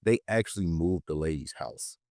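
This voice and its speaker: Male podcast guest, late teens, deep voice, dry close sound, informal emphatic storyteller. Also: animated, normal speed, darkish tone, dry recording. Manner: informal, slangy, emphatic repetition. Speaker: podcast guest, political educator and content creator. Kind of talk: casual conversational storytelling.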